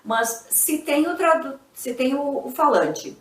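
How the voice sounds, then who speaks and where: Woman English teacher, native Portuguese speaker, didactic explainer, slightly high voice, roomy echo. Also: lively intonation, slow pace, distant mic. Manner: didactic, checks in with frequent tag questions. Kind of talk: explanatory video lesson. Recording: echoey room, voice a bit off-mic.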